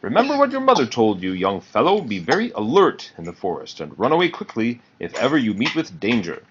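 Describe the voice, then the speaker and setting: A wise old male owl voiced by a man advises the young with proud, caring authority and lively intonation. The storybook recording is a little muffled. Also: medium pitch, a little fast, background noise. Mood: cautioning.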